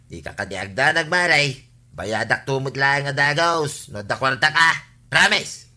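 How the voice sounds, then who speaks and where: An actor with an Ilocano accent playing a hapless, wheedling, henpecked husband who likes a drink, in a radio comedy drama. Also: male voice, medium pitch, animated, unhurried, bright, forceful tone.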